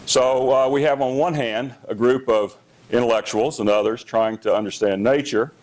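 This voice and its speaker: A middle-aged man with a loud voice giving a public lecture as an engineering dean, pausing often with fillers and restarts. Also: mid-range voice, rough recording. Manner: hesitant, many fillers and restarts. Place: lecture hall.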